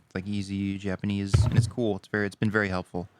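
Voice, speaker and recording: A young man co-hosting a podcast, chatting casually in a relaxed, rambling way with many fillers. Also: on the low side, quick pace, slight background noise. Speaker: podcast co-host. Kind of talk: casual chat.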